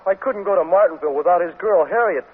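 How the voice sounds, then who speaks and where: A man's voice: sits high, quick pace, slightly rough. A man playing a mopey, lovesick, dopey teenage athlete in a 1950s radio comedy. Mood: miserable, pleading. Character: lovesick, mopey, dim teenage basketball player boy. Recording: narrow, muffled 1950s radio recording.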